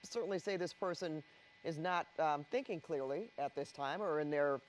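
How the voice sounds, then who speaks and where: An American man giving unscripted live news commentary, measured and hesitant with frequent pauses. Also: a little high, a little hiss or hum.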